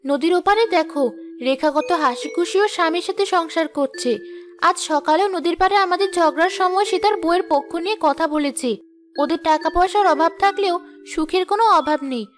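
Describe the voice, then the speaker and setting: A woman playing a high-voiced, petulant young wife. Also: a little fast. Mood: sulky, plaintive. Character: discontented, proud, naive young rich wife. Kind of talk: cartoon fairy-tale dialogue. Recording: music behind the voice.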